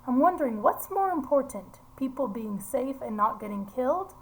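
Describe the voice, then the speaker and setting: A woman's voice: high-pitched, expressive, moderate pace, darkish tone, low-quality recording. A woman reading a picture book aloud to young children, explaining as she goes and putting simple questions to her listeners.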